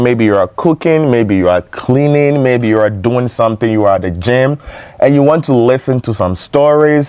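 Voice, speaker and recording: A man's voice: medium pitch, darkish tone, no echo. Male podcast host, Ghanaian accent, emphatic and conversational, recorded dry with little room sound.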